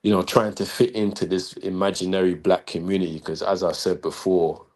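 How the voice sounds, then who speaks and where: A man's voice: low voice, level tone, a little mellow. A man speaking as a guest on an online talk stream, explaining his views in an assertive, lecturing way. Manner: assertive, lecturing, flowing with few pauses. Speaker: guest caller. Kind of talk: casual online talk-show chat.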